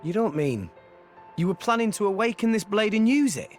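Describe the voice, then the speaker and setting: An earnest young hero. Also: male voice, high voice, big pitch swings, fairly quick, faint music behind. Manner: earnest, coaxing. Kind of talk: video game voice acting.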